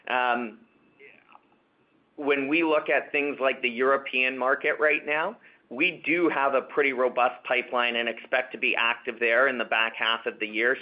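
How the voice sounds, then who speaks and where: A man speaking as a company executive answering questions on an earnings call, measured and confident, often restarting and repeating words. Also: mid-range voice. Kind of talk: corporate earnings call Q&A, unscripted. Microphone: conference call webcast line. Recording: dull, band-limited conference call audio.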